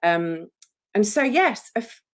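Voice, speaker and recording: A woman's voice: mid-range voice, very animated, takes it slowly. A woman in her forties with an English accent, an author chatting casually on a solo livestream, self-deprecating and jokey, with frequent ums. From England. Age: 40s.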